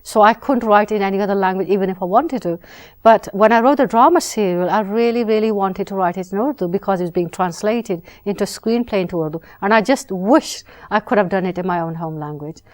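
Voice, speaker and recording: A woman speaks as a novelist in an interview. She answers at a measured, reflective pace, sometimes restarting a phrase before going on. Her voice sits in a middle pitch. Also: a little mellow. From Britain.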